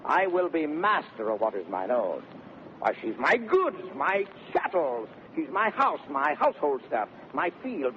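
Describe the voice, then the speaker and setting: An actor playing a headstrong, domineering bridegroom in declamatory 1930s Shakespearean radio style. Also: male voice, a little high, full character voice. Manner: declamatory, theatrical. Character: swaggering, headstrong bridegroom, boisterous and domineering man. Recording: muffled, noisy 1930s radio broadcast recording.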